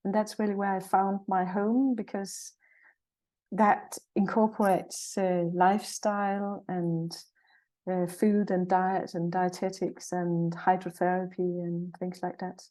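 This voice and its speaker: Mellow-voiced Danish woman in her sixties. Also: on the low side, flat delivery, slow pace. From Jutland, Denmark. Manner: friendly, cheerful, thinks aloud as she talks. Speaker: guest, naturopath and herbalist. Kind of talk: conversational interview.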